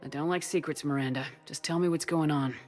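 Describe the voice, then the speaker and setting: An actress playing a tough, direct military commander in a video game, blunt and probing. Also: female voice, low voice, fairly even intonation, fast talker, darkish tone, faint music behind, close to an everyday voice. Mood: firm, guarded.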